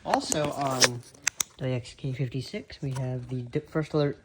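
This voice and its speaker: Man, mid-pitched voice, halting casual show-and-tell, hobbyist presenter, clear background noise with clicks. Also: fairly even intonation. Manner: halting, informal. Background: weather radio playing.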